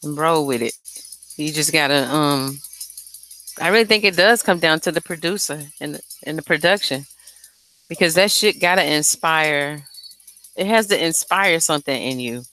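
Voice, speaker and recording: Candid woman singer, low-pitched, music playing. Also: normal speed, pushed and bright, rough recording. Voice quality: light, airy voice. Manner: informal, slangy, candid, swears freely. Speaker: singer and songwriter. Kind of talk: casual, unscripted chat about making music.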